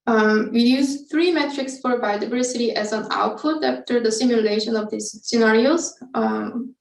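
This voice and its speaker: A woman's voice: a little high, gravelly, a little mellow. A woman researcher giving an explanatory scientific webinar presentation, speaking somewhat hesitantly. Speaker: researcher presenting study methods. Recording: echoey room, distant from mic.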